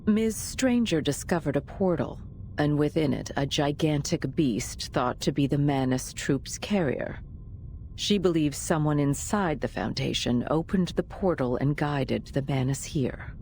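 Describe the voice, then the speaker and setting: A woman's voice: low voice, lively intonation, unhurried, darkish tone, slight background noise. An actress playing a composed, authoritative female official in a video game, speaking formally and decisively. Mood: solemn, measured. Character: composed, authoritative senior official, a woman in command. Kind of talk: dramatic video game voice acting. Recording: music under the voice. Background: background music.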